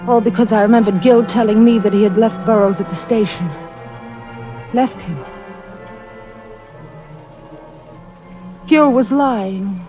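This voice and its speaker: A woman's voice: medium pitch, normal speed, close to an everyday voice. A woman acting an anxious, defensive wife in an old-time radio suspense drama. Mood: fearful, brooding. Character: anxious, defensive wife who narrates her suspicions. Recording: dull vintage radio recording with music.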